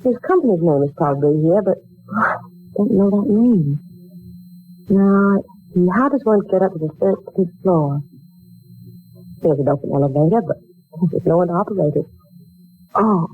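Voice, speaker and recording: A woman's voice: lowish voice, lively intonation, normal speed, pauses often, husky. An actress playing a proud, polite young woman looking for work, in a dramatic 1940s radio style. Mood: uneasy, wondering. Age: young adult. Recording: muffled old 1940s radio recording.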